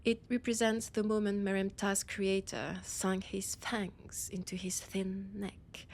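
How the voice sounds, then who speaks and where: A woman playing a haughty, annoyed vampire scholar with a French accent, speaking in a theatrical monologue with dramatic pauses. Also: mid-range voice, darkish tone, slight background noise. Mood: solemn, explanatory. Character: annoyed, haughty female vampire scholar guiding a library guest. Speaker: audio roleplay performer. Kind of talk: slow theatrical in-character monologue.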